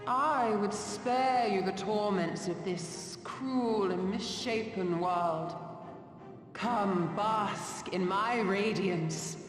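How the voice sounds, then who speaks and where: An actress voicing a serene, seductive and haughty goddess in a video game, speaking in a grand, archaic, theatrical way. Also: female voice, medium pitch, drawn-out words. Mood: pitying, beckoning. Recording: echoing hall reverb, distant, music behind.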